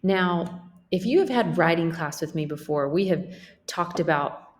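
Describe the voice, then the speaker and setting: A woman's voice: lowish voice, lively intonation, a little slow. A woman teacher reading a picture book aloud as a lesson for children, explaining things simply and checking in with questions.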